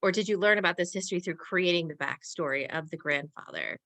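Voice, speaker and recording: A woman's voice: fairly low pitch, fast talker, bright, forceful tone. A woman hosting and moderating an audience discussion, addressing panelists and viewers. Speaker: host, moderator.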